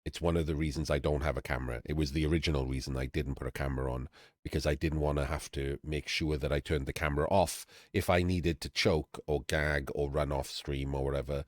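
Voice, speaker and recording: A middle-aged Welsh man with a Welsh accent, talking candidly and casually as a streamer, with fillers and the odd throat clearing. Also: extremely low pitch, fairly even intonation, brisk, no room sound, clean studio sound. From Wales. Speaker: streamer and channel host. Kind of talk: casual talk to camera-less audience.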